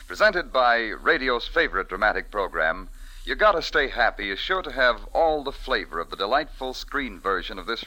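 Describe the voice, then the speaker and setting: A man's voice: mid-range voice, sharp tone, poor audio. An American man announcing in a formal, emphatic, polished 1940s radio promotional style.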